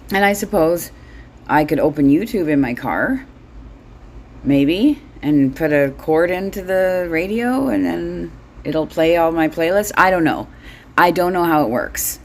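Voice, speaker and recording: Middle-aged woman, deep register, blunt casual comic monologue, some background noise. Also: animated, a little slow. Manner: blunt, sweary, wry. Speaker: solo host.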